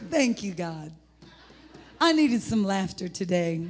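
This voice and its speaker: A middle-aged woman with an American accent and a husky, gravelly voice, preaching an informal, rambling sermon in an animated, folksy way. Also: mid-range voice, takes it slowly. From United States (Indianapolis, Indiana). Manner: animated, rambling, folksy, breaking into laughter and exclamations. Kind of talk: informal sermon with personal testimony.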